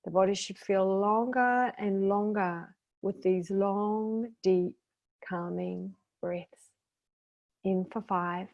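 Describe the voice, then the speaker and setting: Female meditation guide: slow, soothing, gentle, mid-pitched, leaves long pauses between brief calming instructions. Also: gravelly, muffled recording. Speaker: guided meditation teacher and intuitive therapist.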